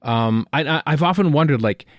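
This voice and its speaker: A man's voice: a little high, normal speed, dry recording, clean studio sound. A man hosting a podcast, talking casually and thinking aloud in a rambling way. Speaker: podcast host. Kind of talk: casual panel chat about television.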